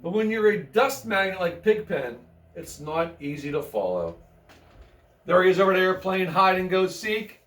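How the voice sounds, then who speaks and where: A man's voice: sits high, sing-song, moderate pace, a bit bright. A man reading a children's picture book aloud in a folksy, playful way, adding chatty asides and repeating himself. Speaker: bedtime story reader. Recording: roomy, echoey, distant microphone.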